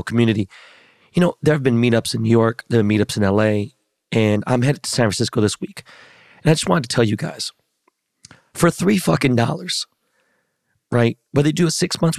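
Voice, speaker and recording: A hoarse man hosting a podcast in casual chat, talking in slangy, profane, hyped-up street style. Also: mid-range voice, darkish tone, dry recording, studio quality. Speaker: podcast host.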